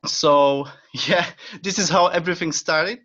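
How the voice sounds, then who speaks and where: A man's voice: on the high side, unhurried, sharp tone. A young man from the Czech Republic with a Czech accent, speaking English as a second language as an eager podcast guest, full of fillers and short laughs. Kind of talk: casual interview chat. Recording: dull lo-fi sound.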